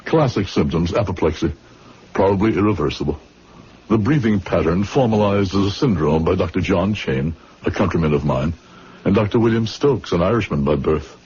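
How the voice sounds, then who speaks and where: A man's voice: sits low, lively intonation, moderate pace, a little mellow, husky, exaggerated voice. An actor playing a bitter, despairing middle-aged former doctor in a radio drama, brooding, sardonic and literate in his speech. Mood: dry, sardonic. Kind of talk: radio drama acting with first-person narration. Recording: dull, muffled radio drama recording.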